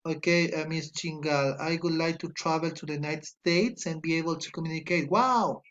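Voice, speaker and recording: A man's voice: on the high side, fairly bright tone, dull audio. A man from Ecuador with an Ecuadorian Spanish accent, a native Spanish speaker, teaching an online English class, reading student answers aloud and patiently correcting them. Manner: slow, patient, corrects spelling and grammar aloud.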